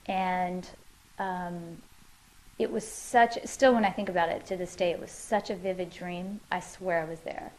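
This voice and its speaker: A woman's voice: fairly low pitch, fairly even intonation, measured pace, rough recording. A woman musician answering interview questions in her living room, thoughtful and loose, with many fillers and restarts.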